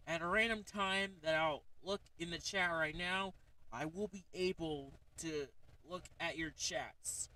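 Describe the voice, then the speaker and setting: A man's voice: on the high side, sharp tone, far from the mic, low-quality recording. A man hosting a casual livestream, rambling with lots of fillers as he shows off a gadget. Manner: rambling, frequent fillers and "alright?" check-ins. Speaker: streamer.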